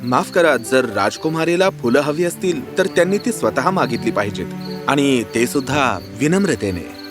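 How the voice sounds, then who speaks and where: An actor playing an earnest, charming young prince with a courteous, romantic manner in a fairy-tale cartoon. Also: male voice, mid-range voice, lively intonation, normal speed, music in the background, natural voice. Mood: courteous, teasing.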